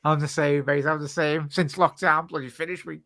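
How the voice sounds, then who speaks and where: A man's voice: on the high side, level tone, fairly quick, fairly bright tone, no echo, clean studio sound. A man hosting a casual live football reaction stream on a video call, chatty and calling everyone mate. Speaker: host.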